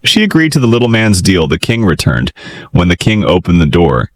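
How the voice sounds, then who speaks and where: A male storyteller reads a fairytale with theatrical expression. His voice sits a little low but moves in lively swings, and the recording is close and dry. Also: a little fast, dry recording. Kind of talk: dramatic children's fairytale narration.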